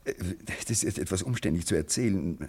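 A meek, evasive middle-aged civil servant in a German radio play. His voice is fairly low and noticeably husky. He hesitates, stammers and backs into apologetic explanations. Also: lively intonation, moderate pace, darkish tone. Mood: sheepish, reluctant. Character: timid, evasive widowed male civil servant.

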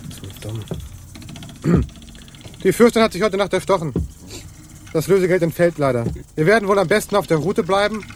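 An actor playing a terse, calculating pirate officer in a radio play, speaking in muttered fragments. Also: male voice, high-pitched, expressive, moderate pace, pauses often. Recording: noisy radio play ship soundscape.

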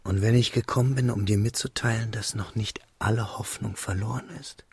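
A man narrating a literary radio drama in a measured, reflective way, as a young man recalling a friend, in a husky, creaky voice. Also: on the low side, steady tone, darkish tone, dry recording, studio quality, exaggerated voice. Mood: searching. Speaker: first-person narrator.

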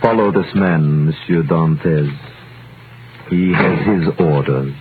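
An actor playing a smooth, calculating royal prosecutor with a formal, measured, commanding manner in an old radio drama. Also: male voice, rumbling low, over loud music. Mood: cold, slow. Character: smooth, calculating royal prosecutor hiding alarm behind authority. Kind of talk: 1930s radio drama acting. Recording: tinny vintage radio broadcast, faint hiss.

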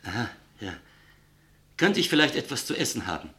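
An actor in a German radio drama playing a careworn, distrustful middle-aged former factory owner, talkative and polite with hesitant pauses. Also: male voice, medium pitch, big pitch swings, pushed and bright, husky, heavily acted.

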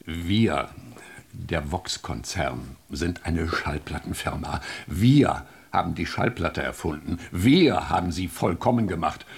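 An actor playing a sceptical, self-satisfied company director in a German radio drama, formal and dismissive. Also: male voice, sits low, lively intonation, slow pace, vocal fry, slightly rough, heavily acted.

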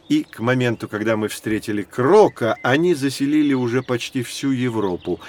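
An actor playing a learned professor, explaining in a deliberate storytelling way in an educational audio drama. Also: male voice, mid-range voice, natural voice. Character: learned male professor guiding children through prehistory.

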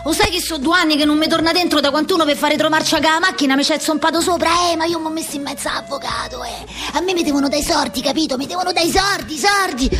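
A woman's voice: high-pitched, fast, few pauses, over music, natural voice. An actress playing a brash, foul-mouthed young working-class woman with a Roman accent, in a combative, slangy theatrical monologue. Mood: indignant. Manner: slangy, combative, rambling street talk. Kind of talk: theatrical comic monologue for radio.

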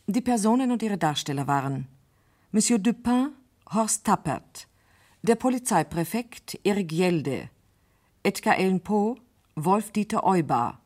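A woman reading scripted credits as a radio announcer, in a formal, measured manner. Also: mid-range voice.

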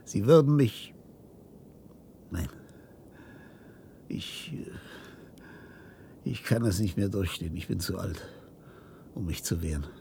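An actor playing a weary, guilt-ridden old inventor in a science-fiction radio drama, halting and theatrical. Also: male voice, sits low, animated, gravelly, slight background noise, heavily acted. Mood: despairing, resigned. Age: older. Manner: halting, theatrical delivery with hushed passages.

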